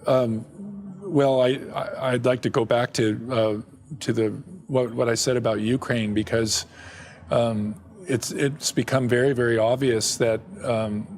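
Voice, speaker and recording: An older man talks in a halting, searching way as a guest in a TV studio interview. His voice sits at an ordinary middle pitch and is picked up cleanly by a lapel mic. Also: a little mellow. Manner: halting, with many restarts and fillers. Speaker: interview guest, ministry director. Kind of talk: candid interview conversation. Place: television studio set.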